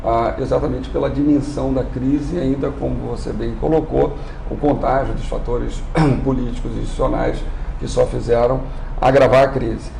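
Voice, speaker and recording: A man speaks in a formal, measured way as an institute executive on live TV. His voice is gravelly, and he often clears his throat. The sound is roomy, with faint background noise. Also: medium pitch, fairly even intonation, mellow. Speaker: industry training institute executive. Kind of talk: live TV interview.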